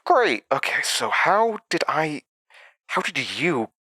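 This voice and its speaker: An actor in an audio drama playing a mysterious, volatile male entity living inside someone's head, speaking in a halting, cryptic way. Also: on the high side, sing-song, very noisy. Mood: muttering, agitated. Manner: halting, cryptic, swinging from muttering to outbursts. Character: fragmented mysterious male entity implanted in another's mind, volatile. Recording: music behind.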